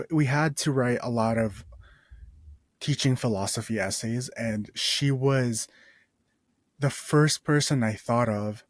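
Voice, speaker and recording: Slow-talking young man telling a story. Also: medium pitch, no room sound. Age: 20s. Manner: rambling, frequent restarts, laid-back slang. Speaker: podcast host. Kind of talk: casual storytelling monologue.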